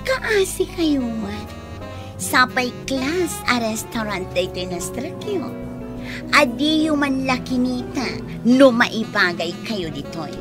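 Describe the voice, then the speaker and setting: A woman's voice: a little high, expressive, slow, over music. An actress playing a haughty, condescending rich female boss in a radio drama. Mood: scornful, haughty. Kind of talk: Ilocano radio drama acting.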